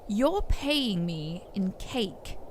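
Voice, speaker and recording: A woman's voice: mid-range voice, big pitch swings, very slow, slight background noise. A woman voicing a lazy, hedonistic young princess with playfully haughty airs in an audio story. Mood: indignant.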